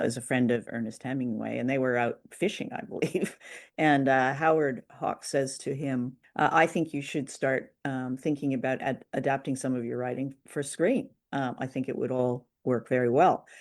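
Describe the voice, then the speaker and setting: A woman's voice: rumbling low, fairly even intonation, normal speed. A woman co-hosts a conversational discussion show. She gives unscripted commentary, explaining things step by step with a somewhat hesitant delivery. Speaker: co-host discussing old radio dramas.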